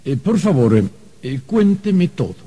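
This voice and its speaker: An actor playing a fussy, flustered archangel bureaucrat in a radio drama, pompous and hesitant. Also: male voice, on the high side, sing-song, measured pace, dark tone, breathy edge, heavily acted. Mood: officious, measured. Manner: hesitant, pompous, hemming and hawing. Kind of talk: Spanish-language radio drama acting.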